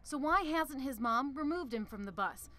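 A woman's voice: sits high, lively intonation, moderate pace, sharp tone. A woman news reporter reading scripted narration for a television report.

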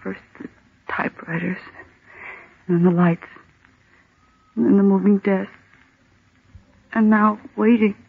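An actress playing a desperate, frightened woman trapped in the dark, in an intense old-time radio drama style. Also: medium pitch, steady tone, a little slow, gravelly, long pauses, breathy edge, no echo. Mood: hushed dread. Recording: dull, lo-fi old radio recording.

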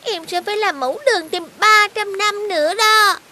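Very high, bright little fairy girl. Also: moderate pace. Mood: earnest. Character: eager, forgetful little fairy girl, earnest and chirpy. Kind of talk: animated fairy-tale voice acting.

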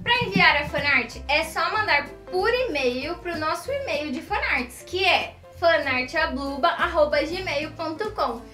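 A woman's voice: high voice, expressive, measured pace, few pauses, bright, forceful tone. A young woman co-hosting a children's show, casually presenting fan art. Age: young adult. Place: small decorated indoor studio. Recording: roomy studio echo, faint music behind.

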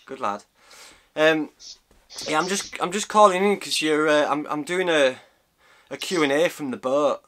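British man, thirties, slightly high pitch, bright forceful voice, jokey casual banter. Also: normal speed, slight background noise. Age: 30s. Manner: jokey, matey. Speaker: vlogger interviewing a friend by phone. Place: small boat cabin, indoors.

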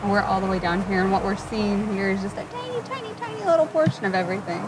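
A woman's voice: medium pitch, expressive, quick pace, background noise. A woman in her thirties chatting casually as a travel vlogger, recorded on a camera mic. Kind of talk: casual chat. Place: outdoors at roadside stops and inside a camper.

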